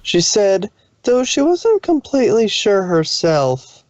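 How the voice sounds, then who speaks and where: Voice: mid-range voice, big pitch swings, slow, darkish tone, dry recording. Dull-sounding, dry fan fiction narrator. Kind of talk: scripted story narration with stumbles. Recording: dull, muffled dry audio.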